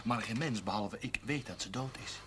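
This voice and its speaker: Actor as a grave, low-voiced clergyman. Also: moderate pace, a bit bright, lightly acted. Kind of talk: 1970s television drama acting. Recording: faint noise on old TV audio.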